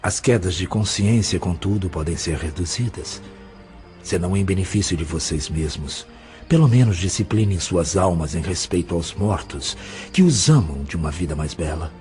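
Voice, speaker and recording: A man plays a grave Brazilian spirit mentor in an audio drama, low in pitch. He exhorts with solemn, formal compassion over faint music. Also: expressive, moderate pace, darkish tone. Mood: solemn exhortation. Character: grave, compassionate spirit guide preaching to the living.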